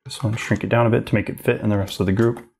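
Brief: gravelly, low-voiced man in his 30s, relaxed art teacher talking through a live demo. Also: very fast. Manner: casual, rambling, thinks aloud while working. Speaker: art instructor. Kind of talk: live drawing demo narration. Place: home studio office.

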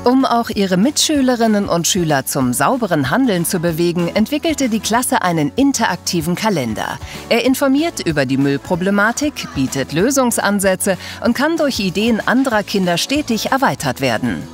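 Woman narrating with lively intonation. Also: medium pitch, normal speed, few pauses. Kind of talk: scripted documentary narration. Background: background music.

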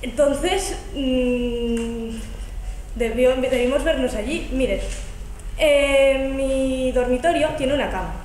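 Teen girl as a overly polite astonished lady, from Spain, high pitch, faint hum. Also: takes it slowly, darkish tone. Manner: formal, exclaiming. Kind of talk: amateur school stage acting. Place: large school hall stage. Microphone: far camera. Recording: echoey hall from far off.

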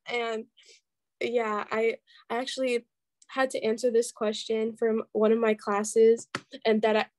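A woman's voice: fairly high pitch, flat delivery, unhurried, darkish tone. A young woman in college telling a rambling story on a video call, with many "like"s. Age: young adult. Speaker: college student participant. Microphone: headphones and laptop webcam on a video call.

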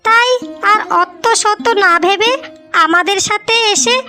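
A woman's voice: very high-pitched, slow pace. A woman voicing a caring, worried elder sister in a cartoon fairy tale, tender and coaxing. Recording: music bed behind the voice.

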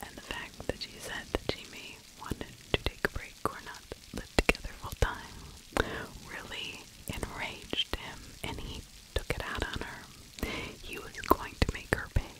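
A middle-aged woman whispers right up against the microphone. Her delivery is very slow and halting, in an unscripted ASMR storytelling style. The voice is all breath, with an intimate, conversational shape. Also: low-quality recording. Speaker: ASMR true crime storyteller. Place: small indoor room. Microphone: large furry-windscreened mic, held very close to the mouth.

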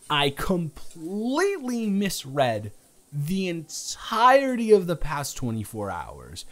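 A young man playing an earnest, flustered romantic lead in an improvised audio roleplay, speaking in a halting, emphatic, stammering way. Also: fairly high pitch.

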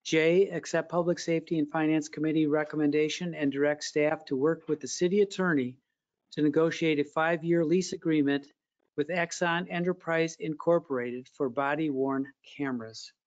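A man chairing a council meeting by video call, reading agenda items in a steady, formal manner. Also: a little high, moderate pace. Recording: dull lo-fi video call audio.

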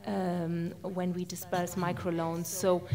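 Slow, even-toned woman panelist. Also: lowish voice. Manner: hesitant, many fillers. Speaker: panelist from a development charity. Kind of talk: panel discussion answer.

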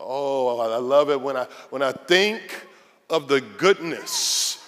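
A man in his thirties preaching an animated, fervent sermon as a pastor, repeating phrases rhythmically for emphasis. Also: medium pitch, slow pace. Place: large church auditorium stage. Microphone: handheld microphone. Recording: noisy large hall with long reverb.

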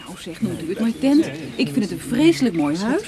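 An actress playing an affectionate, chatty partner in a radio drama, husky-voiced, coaxing and inquisitive in an informal way. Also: female voice, medium pitch, very animated, a little fast, crowd noise, close to an everyday voice. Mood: polite delight. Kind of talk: Dutch radio drama acting.

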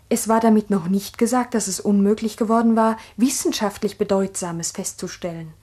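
Teacher lady telling her story, kinda breathy, earnest and careful, old 60s radio drama. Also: mid-range voice, a little mellow. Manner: deliberate, earnest, reflective. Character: earnest, ambitious village schoolteacher recounting events. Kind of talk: 1960s German-language radio drama narration.

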